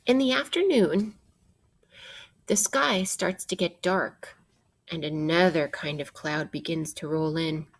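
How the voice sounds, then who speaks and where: A woman teacher reading a children's science book aloud in a deliberate way, putting questions directly to young listeners. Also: low-pitched.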